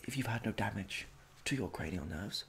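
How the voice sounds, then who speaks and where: A young man doing a soft-spoken ASMR roleplay as a medical examiner, halting and awkwardly polite, in a small room at home. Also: lowish voice, animated, slight background noise. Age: young adult. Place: small domestic room indoors.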